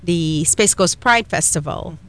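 A woman's voice: lowish voice, a little slow, a bit bright. A woman with a Trinidadian accent hosting a radio show in a chatty, effusive, conversational way.

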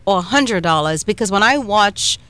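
A woman's voice: medium pitch, expressive, normal speed, a bit bright, clean tone, dry recording. A woman with a Trinidadian accent hosting a radio show in a chatty, effusive, conversational way.

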